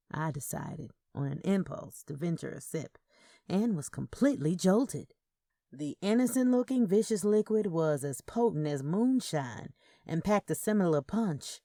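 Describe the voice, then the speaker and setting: A woman's voice: low-pitched, gravelly, mellow, no echo, studio quality. A woman narrating a first-person story, with character dialogue acted out. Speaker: narrator of audio fiction.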